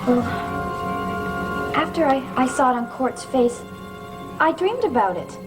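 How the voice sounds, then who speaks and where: An actress playing a troubled, confused young woman in a gothic soap opera, speaking haltingly and repeating herself. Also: high voice, very animated, low-quality recording. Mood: frightened, confused. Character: troubled, confused young woman haunted by dreams and lost voices. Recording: vintage TV soundtrack under loud music.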